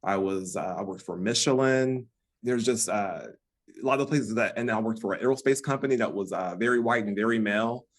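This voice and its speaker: A man's voice: on the low side, brisk. Easygoing American man, casual restarts. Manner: rambling with many restarts and fillers. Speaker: podcast guest, relationship coach. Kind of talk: casual conversation.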